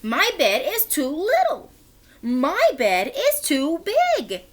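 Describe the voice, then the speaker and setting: A woman voicing excitable dogs from a children's storybook in an exclamatory, exaggerated way. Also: sits high, slow, pitched above the performer's own voice, full character voice. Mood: complaining, puzzled. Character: excitable storybook dogs, one big and one little.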